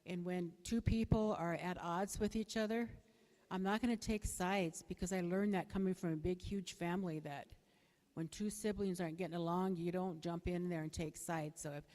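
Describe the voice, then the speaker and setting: A middle-aged woman with a soft voice giving a hesitant, plain-spoken, unscripted answer as a candidate at a public forum. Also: lowish voice, steady tone, normal speed. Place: bingo hall, public forum over a PA. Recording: echoey hall with long reverb.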